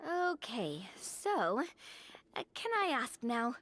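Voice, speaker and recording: A woman's voice: a little high, sing-song, slow, exaggerated voice. A curious, teasing young woman. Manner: bright and teasing. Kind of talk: game cutscene dialogue.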